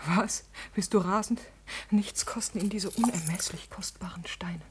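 A woman's voice: medium pitch, normal speed, noisy background, lightly acted. An actress playing a passionate, proud and agitated noblewoman in a classical German radio drama, with theatrical, intense delivery. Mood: shaken. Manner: theatrical, intense, breathy outbursts.

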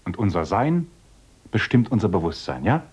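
An actor playing an earnest, idealistic young village teacher in a radio play who lectures eagerly and swings between gentle talk and rapturous visions. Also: male voice, lowish voice, sing-song, normal speed, slight background noise. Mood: earnest, dreamy.

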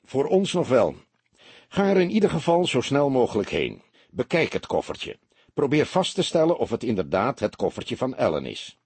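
A man voicing a calm, shrewd veteran police detective in an audiobook, measured and commanding. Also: medium pitch, breathy edge, dry recording, pitched above the performer's own voice.

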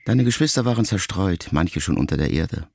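An actor playing a melancholy, reflective writer son in a radio drama, speaking in measured, intimate, halting phrases as if to his mother. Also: low-pitched, lively intonation, vocal fry, a little mellow, no echo, clean studio sound, heavily acted. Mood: quietly bitter.

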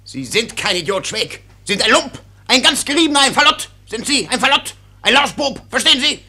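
An actor playing an irascible, blustering army doctor who barks with contempt and hems and haws, in a German radio play. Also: male voice, very high voice, big pitch swings, a little fast, sharp tone, exaggerated voice. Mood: furious. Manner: barking, contemptuous, hemming and hawing.

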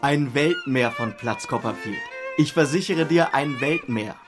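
Man playing an obliging young guest under loud music. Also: mid-range voice, measured pace, lightly acted. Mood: cheerfully reassuring. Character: good-natured, reassuring young male dinner guest. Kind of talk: German radio play acting.

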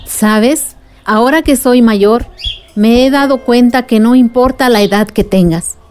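A woman's voice: on the high side, a little slow, a little mellow, slight background noise, natural voice. A woman playing a reflective older storyteller recounting village memories in a radio drama. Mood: reflective, glad.

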